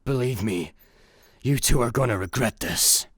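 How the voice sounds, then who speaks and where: An actor playing a big, smug, mercenary space hero turned villain, taunting and self-important, in a comedic sci-fi radio play. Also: male voice, mid-range voice, moderate pace, husky, dry recording, studio quality, close to an everyday voice. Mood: threatening.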